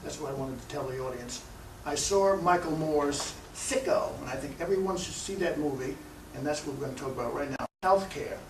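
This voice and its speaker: A man's voice: a little high, brisk, hardly any pauses. A man hosting an informal local television interview, talking to the audience and his guest in plain unscripted speech. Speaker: TV interview host. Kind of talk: informal public-access interview. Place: room recording for a cable access show. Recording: echoey room, distant mic, faint noise.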